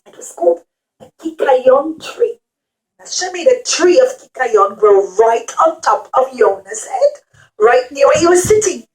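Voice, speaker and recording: A woman's voice: sits high, big pitch swings, measured pace, low-quality recording. A woman telling a puppet-show story to young children, explaining simply and repeating phrases with dramatic emphasis.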